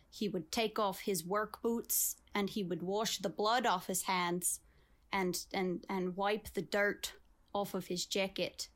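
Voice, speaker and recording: A woman playing a deadpan female guest from Russia in an improvised comedy sketch, speaking with a put-on foreign accent. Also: medium pitch, close to an everyday voice.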